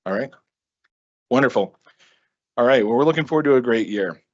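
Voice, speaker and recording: A man's voice: mid-range voice, expressive, brisk, highs cut off. A man chairing a school board meeting, giving earnest, appreciative opening remarks with frequent ums.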